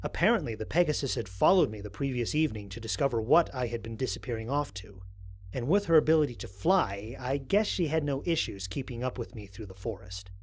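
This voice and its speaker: A man playing a curious, bemused space explorer, narrating mission logs in an expressive, musing way for an audio drama. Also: mid-range voice, brisk, husky. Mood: wry, curious. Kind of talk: dramatic first-person mission log narration.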